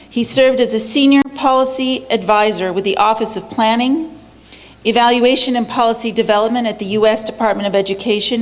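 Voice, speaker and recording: A woman moderates a panel and reads out a guest's introduction briskly and with an upbeat tone. Her voice sits a little higher than average. The long reverb of a lecture hall hangs around every word. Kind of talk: reading a speaker introduction.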